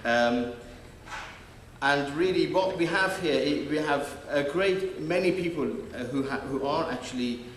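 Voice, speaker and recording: A man's voice: a little high, a little slow. A man moderating a panel, introducing speakers with many fillers and restarts. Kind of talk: hesitant live introduction. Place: large echoey hall. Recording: distant mic, echoey hall, faint noise.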